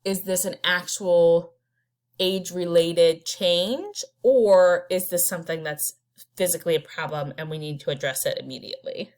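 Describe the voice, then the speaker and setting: A woman's voice: lowish voice, moderate pace, darkish tone. A woman teaches from her slides. She keeps it conversational and unpolished, with fillers and restarts between her points. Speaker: instructor. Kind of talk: recorded slide lecture.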